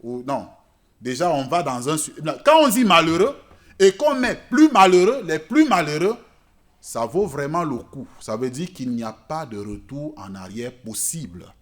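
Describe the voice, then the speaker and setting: A man preaching a church sermon in French, which is not his native language, swinging between loud emphatic peaks and quiet asides. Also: fairly high pitch, sing-song, moderate pace. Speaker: pastor. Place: church service.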